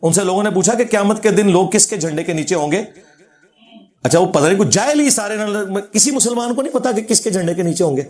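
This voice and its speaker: High-pitched man, sardonic Pakistani Punjabi lecturer. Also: animated, quick pace, a little mellow. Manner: argumentative, sardonic, quoting scripture. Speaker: religious lecturer. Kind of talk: informal religious rebuttal lecture.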